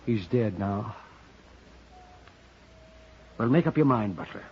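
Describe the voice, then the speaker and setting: An actor playing a principled, weary frontier doctor in a radio western, American, halting and emotive. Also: male voice, fairly low pitch, animated. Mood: weary pity. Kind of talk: 1950s radio western acting. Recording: muffled old radio recording, faint noise.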